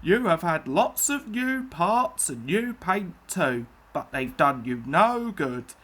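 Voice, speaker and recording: Storybook railway controller, stern businessman, authoritative, slow delivery with lively pitch played by a woman. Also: full character voice. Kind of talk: children's storybook reading.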